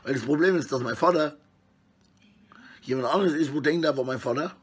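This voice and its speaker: A middle-aged man with a husky voice rambles casually and coarsely in slang, talking to his phone at arm's length indoors. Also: fairly high pitch, fairly quick, soft background music. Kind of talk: rambling casual selfie monologue. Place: small indoor room at home.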